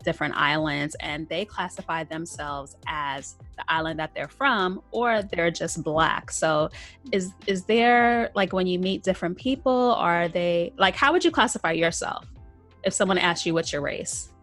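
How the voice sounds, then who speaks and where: Brief: woman host, lower voice, casual interviewer who circles around her questions. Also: unhurried, fairly bright tone, soft background music. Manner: searching questions with restarts and fillers. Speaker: podcast host.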